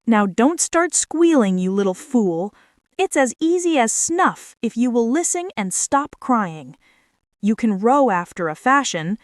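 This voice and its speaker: A woman's voice: on the high side, big pitch swings, unhurried, dry recording, studio quality. A woman voicing a sly, brusque ship's cat who gives bossy instructions in a children's storybook. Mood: brisk, scolding.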